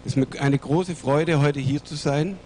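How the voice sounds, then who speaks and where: A middle-aged man from Germany with a German accent, giving a formal conference lecture in a deliberate, courteous way into a handheld microphone. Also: on the high side, darkish tone, a little hiss or hum. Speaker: guest lecturer, gerontologist. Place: theatre stage.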